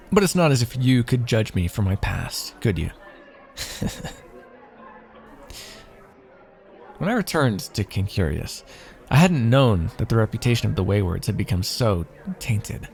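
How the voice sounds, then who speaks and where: A man's voice: medium pitch, creaky, darkish tone. A man playing a wry, teasing royal heir and former mercenary in an ASMR fantasy roleplay, with formal, theatrical phrasing. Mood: reflective, guarded.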